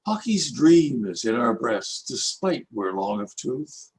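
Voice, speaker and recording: An older Canadian man reading his own rhyming poems aloud in a measured, lilting recitation. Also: mid-range voice, a little hiss or hum. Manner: lilting, expressive recitation with rhythmic rhyme. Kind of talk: slow, measured poetry reading.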